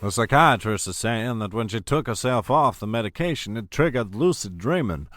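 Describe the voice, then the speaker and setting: A man's voice: on the low side, expressive, moderate pace, a bit bright, no echo, studio quality. A man playing a stern, protective father of grown daughters, formal and commanding, in an audio drama. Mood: grave.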